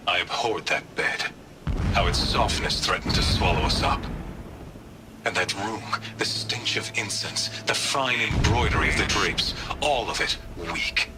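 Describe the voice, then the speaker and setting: An actor playing a ruthless, zealous warrior in a solemn, measured and intense dramatic monologue for a video game. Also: male voice, fairly low pitch. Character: zealous, ruthless warrior fanatic, male, brooding and self-exalting. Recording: loud music bed behind the voice.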